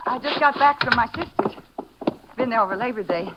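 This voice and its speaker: A woman's voice: fairly high pitch, a little fast, close to an everyday voice. A woman playing a friendly, hospitable, homespun rancher's wife in a 1950s radio drama. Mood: welcoming, concerned. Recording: muffled, noisy 1950s radio recording.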